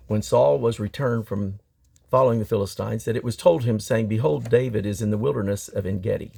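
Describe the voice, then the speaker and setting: A man's voice: fairly low pitch, vocal fry, dark tone, breathy edge. A man reading the Bible aloud dramatically, with emphatic delivery of archaic phrasing. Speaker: scripture reader.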